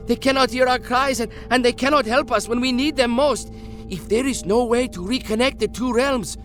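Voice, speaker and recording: An excitable, anxious young man from a fantasy game. His voice is extremely high for a male, earnest in delivery, with music behind it. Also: heavily acted. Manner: earnest, stammering when moved. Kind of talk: scripted fantasy game dialogue.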